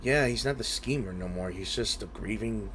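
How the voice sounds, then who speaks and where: A man's voice: fairly low pitch, moderate pace, noisy background. A man giving casual commentary on a video game and reading some of its dialogue aloud. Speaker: gameplay streamer.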